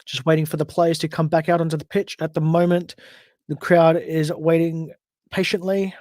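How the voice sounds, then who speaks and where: A man's voice: a little high, little pitch movement, moderate pace, a little mellow, no room sound, studio quality. An Australian man hosting a solo podcast livestream, chatting casually and rambling with frequent self-corrections. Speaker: podcast host.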